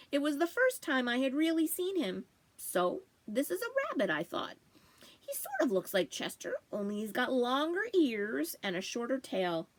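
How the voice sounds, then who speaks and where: A woman voicing a wry, food-loving family dog who narrates his own storybook tale in a confiding manner. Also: fairly high pitch. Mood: musing.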